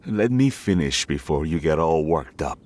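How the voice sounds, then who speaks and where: A man's voice: rumbling low, lively intonation, moderate pace, darkish tone, a little hiss or hum. A man voicing a stern, curt middle-aged father in a video game. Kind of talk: game voice acting.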